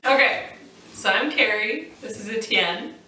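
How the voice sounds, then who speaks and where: Woman in her thirties, casual vlog host, laughs easily; bright tone, a little faint noise. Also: on the high side, animated, a little slow. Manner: chatty, laughs easily, playful. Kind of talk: casual chat. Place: living room at home, indoors. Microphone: far camera. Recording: echoey room, mic at a distance.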